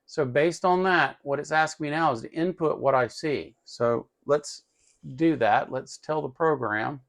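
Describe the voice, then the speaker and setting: A man's voice: a little high, measured pace, creaky, a bit bright. A man hosting an electronics tutorial in a casual, unscripted way, explaining step by step as he works and often repeating himself.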